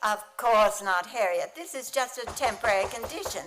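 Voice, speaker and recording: A woman playing a quick-witted, soothing, wry female school teacher in a comic radio play. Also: on the high side, expressive, noisy background, lightly acted. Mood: composed.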